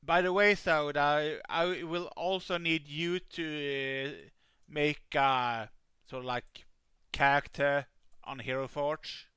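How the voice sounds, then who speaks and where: A man hosting a live stream, helping players make characters and talking in a halting way with many fillers. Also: on the high side, clean tone, dry recording, clean studio sound. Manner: says he has a calming voice. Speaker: streamer running a game. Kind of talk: casual live-stream chat.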